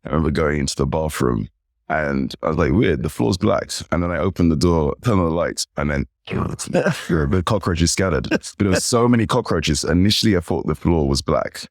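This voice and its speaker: Very deep, gravelly English man. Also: moderate pace, no echo, studio quality. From United Kingdom. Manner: animated storyteller. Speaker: podcast guest. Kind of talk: casual interview chat.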